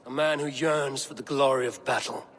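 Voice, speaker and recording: An actor playing a calm, commanding Viking war leader in a video game, speaking in a measured, resolute way. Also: male voice, medium pitch, natural voice. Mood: frank, encouraging. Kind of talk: dramatic video game acting. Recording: faint background ambience.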